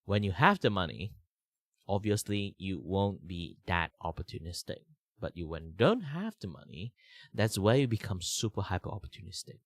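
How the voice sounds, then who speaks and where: Young man, low voice, rough sick-ish throat, rambling stream chat, dry close sound. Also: lively intonation, normal speed, no room sound. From Malaysia. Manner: rambling, many fillers and restarts. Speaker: art streamer.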